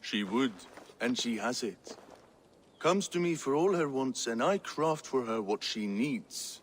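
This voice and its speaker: Gruff, coarse medieval craftsman, reverberant. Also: mid-range voice, expressive, measured pace. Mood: coarse, boastful. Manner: blunt, coarse. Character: gruff, foul-mouthed male medieval craftsman, menacing and scornful. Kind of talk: video game cutscene acting. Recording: long hollow reverb.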